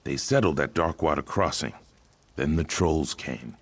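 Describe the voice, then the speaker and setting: A man playing a proud, aggrieved reptilian warrior in a video game, speaking in a solemn, deliberate way. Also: very deep voice, breathy edge, dry recording, heavily acted. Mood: grave, respectful. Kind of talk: video game character dialogue. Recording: music behind the voice.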